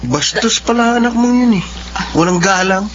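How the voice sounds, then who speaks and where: An actor playing a young Filipino boyfriend, a sly and boastful sweet-talker, in a radio drama. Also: male voice, sits high, expressive, normal speed. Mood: scornful. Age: young adult. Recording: dull lo-fi audio, clear hum.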